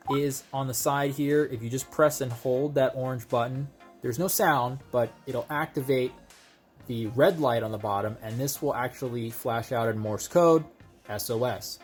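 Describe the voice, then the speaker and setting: A man giving a casual, conversational hands-on product demonstration, explaining things step by step as a video host. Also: medium pitch.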